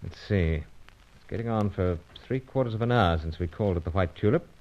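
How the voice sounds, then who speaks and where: An actor playing an unflappable British secret agent in a radio adventure serial, with a clipped, understated delivery. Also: male voice, sits low, fairly quick. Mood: pondering. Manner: clipped sentences with dropped words, understated. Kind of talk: old-time radio adventure serial acting and narration. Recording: dull, noisy old radio recording.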